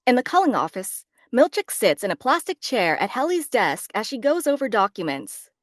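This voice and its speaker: A woman's voice: fairly high pitch, big pitch swings, normal speed, no room sound, studio quality. A woman narrator reading screenplay stage directions as dramatic scripted narration. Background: faint background music.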